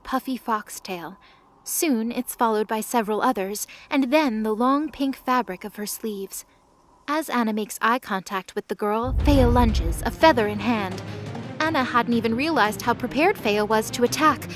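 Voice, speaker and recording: High-pitched woman narrating a drama. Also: normal speed. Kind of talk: scripted dramatic story narration.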